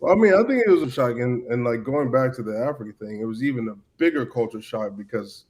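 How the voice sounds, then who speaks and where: A man's voice: mid-range voice, expressive, quick pace, a little mellow. A man in his thirties from Cameroon, a podcast guest telling stories about his past in an easygoing way with lots of fillers. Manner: easygoing, many "you know" fillers and restarts. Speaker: podcast guest, former player. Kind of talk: casual storytelling chat.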